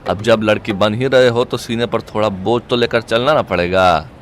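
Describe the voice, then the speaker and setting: A man's voice: lowish voice, steady tone, normal speed, slight background noise, natural voice. An actor playing a crude, joking young male sidekick in an audio drama, teasing and exclamatory. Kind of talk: Hindi audio comedy-horror drama acting.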